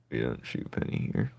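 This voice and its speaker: Raspy man, low register, casual live-drawing streamer who rambles to himself and his viewers. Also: a little mellow, slight background noise. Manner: rambling, thinking aloud, joking with viewers.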